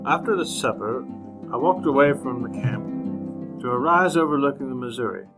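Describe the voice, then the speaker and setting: An actor playing a composed, educated gentleman traveler in an old-time radio western, speaking in a measured, dry way. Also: medium pitch, expressive, over music, close to an everyday voice. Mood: measured, somber. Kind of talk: old-time radio drama acting and narration.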